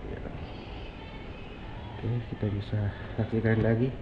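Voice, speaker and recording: Deep-voiced man from Makassar, casual tour vlogger, pointing out exhibits; tinny, echoey recording. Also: level tone, unhurried. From Makassar, Indonesia. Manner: pointing things out, many fillers. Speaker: vlogger touring a museum. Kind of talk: casual walking tour narration. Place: indoor museum halls of an old fort. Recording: tinny phone-like audio in echoey rooms with loud noise.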